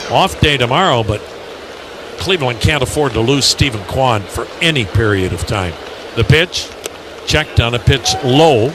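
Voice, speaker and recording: A man calling live baseball play-by-play on the radio in measured, clipped phrases. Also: medium pitch. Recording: loud ballpark noise behind.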